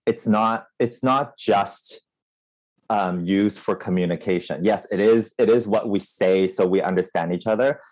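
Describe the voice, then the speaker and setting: A man from Taiwan talks in a relaxed panel discussion, his voice sitting very low. He is thoughtful and builds his points around concrete examples. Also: a little slow.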